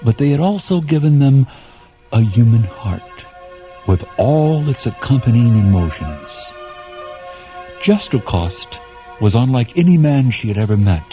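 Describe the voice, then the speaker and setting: A male narrator delivers scripted radio drama storytelling. His mid-pitched voice moves expressively, with music behind it and a slightly dull sound. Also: very animated, measured pace.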